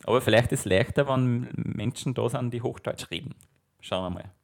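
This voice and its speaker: A man's voice: medium pitch, normal speed, vocal fry. A man in his thirties with an Austrian accent, co-hosting a podcast in casual, jokey chat full of self-mockery. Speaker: podcast co-host.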